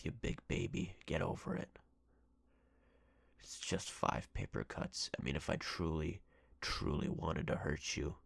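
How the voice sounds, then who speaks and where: A menacing, obsessive ex-boyfriend acted by a man for an ASMR roleplay. His voice is extremely low, thick with fry and breathy, in a very dry, close recording. He speaks with possessive, threatening deliberation. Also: no echo, exaggerated voice. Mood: scornful, threatening. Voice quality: gravelly, husky, breathy. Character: menacing obsessive yandere ex-boyfriend, a jealous captor.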